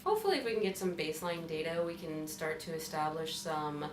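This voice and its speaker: A woman faculty member from Wisconsin explains her fish research plainly in a very low voice. She is heard from some way off the mic. A roomy echo and clear background noise surround her. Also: lively intonation, quick pace. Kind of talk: interview about her research. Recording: echoey room, mic far off, noisy.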